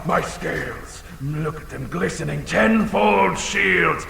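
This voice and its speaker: Gravelly, blustering dragon in a cavern. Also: male voice, slow pace, heavily acted. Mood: boastful. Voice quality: gravelly, creaky. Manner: theatrical booming threats collapsing into fussy, casual muttering. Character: blustering, insecure, half-blind dragon with huge teeth. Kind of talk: comedic audio drama acting. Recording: cavernous long reverb with faint noise.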